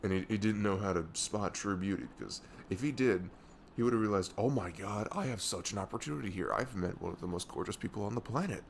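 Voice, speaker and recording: Guy playing caring best friend in asmr roleplay, gravelly low voice, soothing n halting, bit of background noise. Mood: emphatic, reassuring. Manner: halting, soothing, earnest, breaking into whispers. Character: caring, protective young male best friend comforting someone. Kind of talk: ASMR audio roleplay, intimate casual chat.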